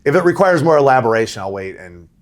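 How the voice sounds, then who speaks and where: A middle-aged man with a big voice, a rowing coach answering interview questions in a relaxed, conversational way. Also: medium pitch, fast. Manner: conversational, laughs easily, says right? and you know.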